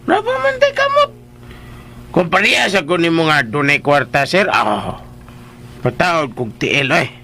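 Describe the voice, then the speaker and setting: Drunk comic driver for radio drama: creaky, wildly lively, slurred, excitable and rambling. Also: male voice, sits high, heavily acted. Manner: slurred, repetitive, excitable tipsy chatter. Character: drunk, jolly, rambling male driver and sidekick.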